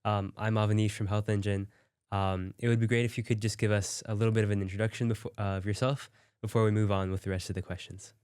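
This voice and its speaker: A young male interviewer with a low, smooth voice asks questions in a friendly, eager way, stumbling over fillers. The sound is dry and close. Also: level tone, fast talker, darkish tone, no room sound. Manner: friendly, filler-heavy, enthusiastic. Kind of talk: casual interview.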